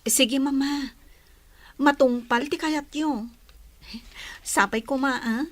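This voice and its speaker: An actress playing an agreeable young fiancée who is eager to please, in a radio drama. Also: female voice, high-pitched, slow.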